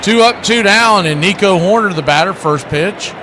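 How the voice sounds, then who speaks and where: High-pitched male baseball play-by-play man, noisy feed. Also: moderate pace. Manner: folksy showman, stock catchphrases and jokes. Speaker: baseball play-by-play radio announcer and stream host. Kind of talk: baseball play-by-play commentary with chatty asides to viewers.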